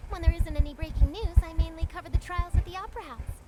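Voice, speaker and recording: A woman's voice: very high-pitched, fast talker. A woman playing an eager, ambitious young female reporter in an anime video game, with music behind. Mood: confident, eager. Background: loud music from a video game.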